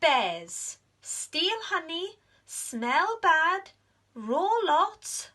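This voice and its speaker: A clever little girl bee from a storybook, acted out by a woman, suspicious and pointed. The voice is high and bright, pressing each point slowly and insistently. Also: sing-song, exaggerated voice. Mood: accusing.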